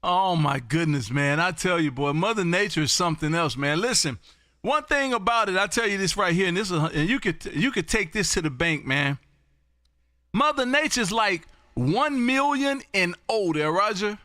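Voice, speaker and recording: A loud, folksy man works the airwaves from his home radio room. His voice sits high and sounds sharp and bright, and he keeps repeating call signs. The station mic sounds dry and close. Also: lively intonation, moderate pace, no echo. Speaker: ham radio operator hosting a live stream. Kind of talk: casual on-air radio chat.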